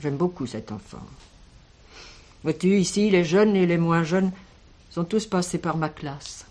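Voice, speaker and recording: An actress playing an older village schoolteacher in a French radio drama, kindly and protective, speaking in a measured, expressive way. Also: female voice, fairly low pitch, close to an everyday voice. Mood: earnest, reflective.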